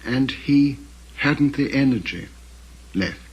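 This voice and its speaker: An older Englishman speaks very hoarsely and at a slow, deliberate pace. His phrasing is scholarly and halting, often circling back to correct itself as he reflects in an interview. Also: mid-range voice, lively intonation, lo-fi sound. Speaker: interviewee, author's son recalling his father.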